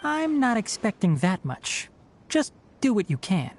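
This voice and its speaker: A woman voicing a patient, knowledgeable young male forest ranger in a video game, who explains things calmly and teases a little. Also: medium pitch, measured pace, a little hiss or hum. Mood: instructive. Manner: calm, explanatory teacher, mildly teasing.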